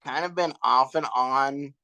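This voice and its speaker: Young male co-host, laid-back chat, halting and unsure, mid-pitched voice. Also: vocal fry, muffled recording. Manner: relaxed, hesitant, trails off with ums. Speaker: podcast co-host. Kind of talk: casual chat.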